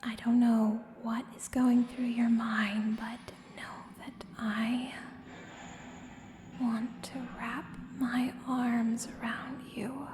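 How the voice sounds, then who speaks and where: A woman playing a menacing, coolly seductive contract killer in an ASMR audio roleplay, speaking in a hushed, deliberate, breathy voice with pointed pauses. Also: a little high. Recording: roomy long reverb, clear background noise.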